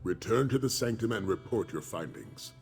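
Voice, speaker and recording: Deep-voiced, grave warrior mentor. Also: male voice, expressive, creaky, a little mellow. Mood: commanding, measured. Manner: measured, solemn, formal. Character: grave, wise male dragon-hunter commander and mentor. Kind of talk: video game dialogue acting. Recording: music behind the voice.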